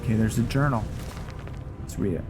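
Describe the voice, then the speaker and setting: Male gamer, low and unhurried delivery, casual play-by-play with folksy exclamations, prominent music behind him. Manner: mild folksy exclamations, muttering to himself while playing. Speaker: video game streamer. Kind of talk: casual gameplay commentary with roleplay.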